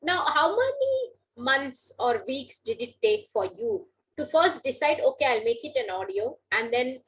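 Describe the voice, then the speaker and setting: An Indian-accented woman works as the interviewer. Her voice is clearly high in pitch, and she puts her questions in a conversational style. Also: sing-song, unhurried, fairly bright tone, slight background noise, low-quality recording.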